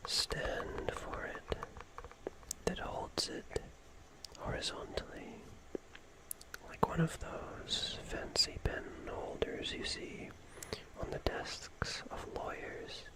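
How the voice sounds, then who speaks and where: A person whispering ASMR musings in a breathy, unvoiced voice, with deliberate short phrases. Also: poor audio. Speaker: ASMR streamer.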